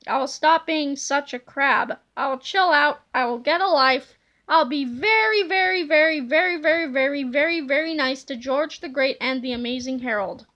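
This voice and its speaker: A woman's voice: high voice, moderate pace, pushed and bright, muffled recording. A woman voicing an irritable male school principal from a children's storybook, exasperated and emphatic. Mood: pleading.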